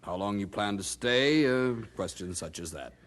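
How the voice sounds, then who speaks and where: A man's voice: fairly low pitch, lively intonation, moderate pace, lightly acted. An actor playing a polite, inquisitive colonial newspaperman in a radio drama, courteous and unassuming. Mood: inquiring.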